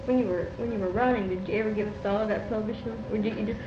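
A woman with a mid-pitched voice puts questions in an interview. The sound is muffled and echoey, with clear noise behind her. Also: fairly even intonation, very fast. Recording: muffled, echoey, noisy old interview tape.

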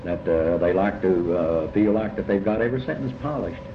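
Halting older man, deep voice. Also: expressive. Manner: halting, rambling, with many repetitions and long hesitations. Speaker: writer and lecturer being interviewed. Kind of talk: reflective interview conversation. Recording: muffled, echoey, noisy amateur interview tape.

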